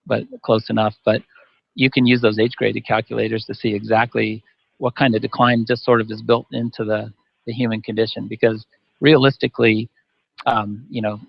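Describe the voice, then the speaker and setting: Gravelly-voiced man in his fifties. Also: on the low side, little pitch movement, normal speed, no echo. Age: 50s. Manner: rambling, restarts often. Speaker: masters runner guest. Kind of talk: panel discussion answer. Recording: dull dry audio.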